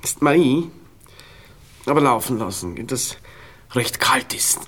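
An actor playing a nervous, well-off young gentleman with a Viennese accent, halting and distracted, in a radio play. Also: mid-range voice, expressive. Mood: restless, commanding. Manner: halting, distracted, faintly bossy.

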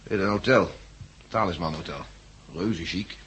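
Cheeky rough working class bloke, deepish voice, slangy n joking, old 60s radio play w some hiss. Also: male voice, a little slow, a bit bright. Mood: amused. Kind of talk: 1960s radio drama acting. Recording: noisy old 1960s radio recording.

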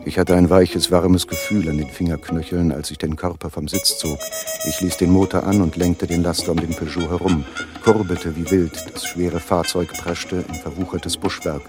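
An actor playing the armed first-person narrator of a radio thriller, recounting the action over music. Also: male voice, low-pitched, flat delivery, normal speed, close to an everyday voice. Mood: urgent.

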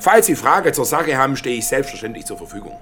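An actor playing a smooth, evasive, proud and coldly businesslike slaughterhouse manager in a radio drama. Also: male voice, medium pitch, very animated, fast, music in the background, lightly acted. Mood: evasive, defensive. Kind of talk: naturalistic radio drama acting.

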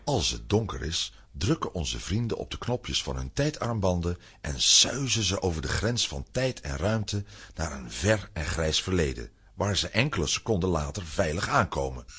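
A man with a fairly low, rough and husky voice narrates a children's adventure. His scripted delivery is dramatic, sweeping widely up and down. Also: sing-song, moderate pace, fairly bright tone. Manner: dramatic storytelling.

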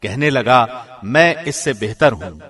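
A man reading a scripture translation from a script in a measured, formal, carefully phrased way, like a professional radio presenter. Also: medium pitch, animated, fairly bright tone.

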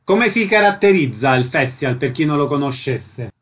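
Male radio host, higher-pitched voice. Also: animated, moderate pace, fairly bright tone. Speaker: radio host interviewing guests. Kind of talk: radio interview, conversational.

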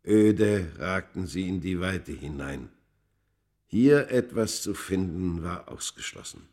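A male actor playing a tormented, world-weary immortal from ancient Egypt in a radio play, speaking with grave, deliberate, literary delivery. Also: sits low, animated, darkish tone, exaggerated voice. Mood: weary, resigned. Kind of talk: dramatic first-person radio play narration.

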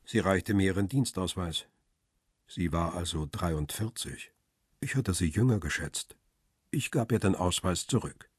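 Husky, low-voiced observant male narrator. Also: no room sound, clean studio sound. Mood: observant, calm. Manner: measured, observant, descriptive. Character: world-weary male paparazzo recounting his story, coolly observant. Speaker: narrator of a radio crime play. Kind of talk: scripted first-person radio drama narration.

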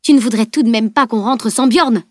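A woman voicing a bossy, sharp-tongued teenage girl with a commanding, sarcastic delivery in an audiobook. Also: on the high side, fairly quick, dry recording, clean studio sound, natural voice. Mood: stern, commanding. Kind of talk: dramatised audiobook dialogue.

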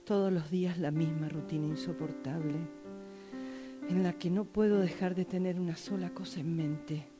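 A woman reads a scripted monologue in a slightly low voice. Music is clearly audible underneath. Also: steady tone, normal speed. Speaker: radio drama workshop student. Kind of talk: dramatic monologue reading.

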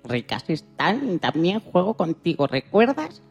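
A woman's voice: on the low side, big pitch swings, measured pace, a little mellow, slightly rough, dull audio, faint music behind, pitched below the performer's own voice, full character voice. A woman voicing an affectionate, silly pet rabbit in a tender, pleading way for a storybook radio play.

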